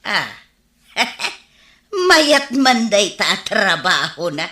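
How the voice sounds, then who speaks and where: An actress with an Ilocano accent playing a chatty, disapproving village wife in a radio comedy-drama. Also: female voice, medium pitch, big pitch swings, drawn-out words, bright, forceful tone, full character voice. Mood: inquisitive. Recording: roomy, echoing studio sound.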